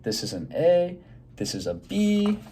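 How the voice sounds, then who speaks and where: A man voicing a patient but firm woman schoolteacher in a children's storybook, instructing and gently correcting. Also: high voice, sing-song, unhurried, a little hiss or hum, voice pushed up. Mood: patient, welcoming.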